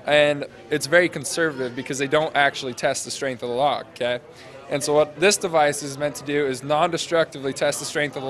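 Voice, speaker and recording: A man in his twenties, a student interviewee, explaining a project off the cuff in casual, halting phrases into a handheld mic. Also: fairly high pitch, fairly bright tone. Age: 20s. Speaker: interviewee, senior engineering student presenting a project. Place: large glass-walled university hall or lobby. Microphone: handheld interview microphone held out to him.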